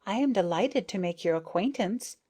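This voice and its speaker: A woman's voice: mid-range voice, animated, moderate pace, warm tone, husky. A woman voicing a young, shy and polite female bee with an eager, admiring manner.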